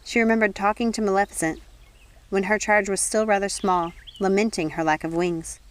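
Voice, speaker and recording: A woman's voice: medium pitch, steady tone, a little fast. A woman reading a story aloud as scripted audiobook narration. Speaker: audiobook reader.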